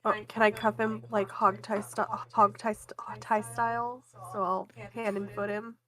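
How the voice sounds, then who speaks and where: A woman's voice: mid-range voice, little pitch movement, unhurried, a bit bright. A woman chatting casually as a player in a tabletop roleplaying game.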